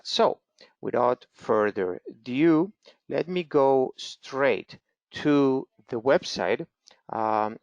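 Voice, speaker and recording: A middle-aged man with a Venezuelan Spanish accent presenting a live webinar demo, unhurried and chatty, with lots of fillers. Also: medium pitch, no room sound. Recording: slightly dull lo-fi webinar audio.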